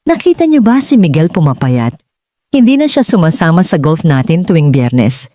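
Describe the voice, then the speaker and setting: A woman's voice: low voice, very animated, moderate pace, warm tone, no room sound, studio quality. A woman with a Filipino accent voicing a gossiping, wealthy country-club socialite in an audiobook. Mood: scandalised gossip. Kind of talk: audiobook dialogue.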